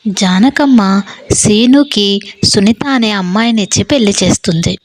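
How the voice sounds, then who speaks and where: A woman's voice: medium pitch, normal speed, no room sound. Woman narrating a story, dry sound. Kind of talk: scripted moral-story narration.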